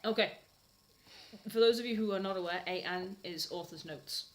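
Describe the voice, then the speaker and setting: A woman's voice: fairly low pitch, normal speed, slight background noise. A British woman co-hosting a comedy reading show, chatting casually to camera in a mock-serious way.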